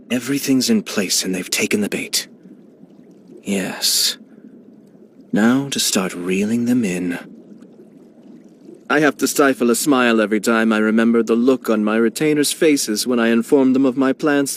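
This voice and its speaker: An actor voicing a genteel, scheming young male clan head in a video game, speaking in a smooth, measured and courteous way with quiet amusement. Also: medium pitch, slight background noise, lightly acted. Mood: composed. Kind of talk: video game character voice lines.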